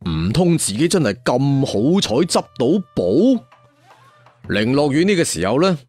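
A man narrating a fantasy tale in a colloquial, dramatic storyteller style with chatty asides. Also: medium pitch. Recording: music behind the voice. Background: background music.

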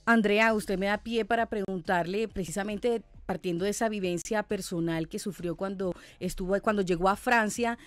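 A woman's voice: mid-range voice, moderate pace, few pauses, no room sound, soft background music. A woman host interviewing a guest, asking long, formally framed questions into a studio microphone.

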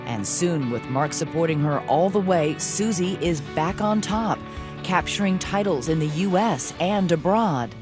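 A woman's voice: fairly low pitch, animated, a little slow, over music. A woman reading scripted news magazine narration in a dramatic storytelling manner.

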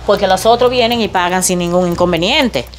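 A woman plays a hard, greedy neighbor who will not budge, speaking bluntly at a middle pitch. Faint music sits underneath her flat demands. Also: brisk, natural voice. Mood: demanding. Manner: blunt, insistent, unbending. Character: greedy stubborn female neighbor demanding payment.

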